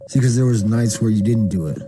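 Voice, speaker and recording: A man's voice: on the low side, fast talker, noisy background. A man doing spoken-word storytelling in a slangy, profane, casual manner.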